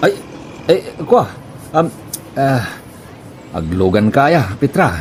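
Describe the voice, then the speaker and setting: A man's voice: mid-range voice, big pitch swings, slight background noise. A man playing a flustered, lovestruck married tricycle driver in an Ilocano-accented radio comedy, stammering and drawing out his exclamations. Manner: stammering, exclaiming, drawn-out words. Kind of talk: comedic radio drama acting.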